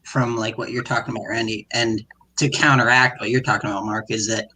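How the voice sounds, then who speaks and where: A man's voice: lowish voice, level tone, brisk, sharp tone, slightly rough, low-quality recording. A man on a live panel talk show who rambles through his points with many restarts. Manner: rambling, full of fillers and restarts.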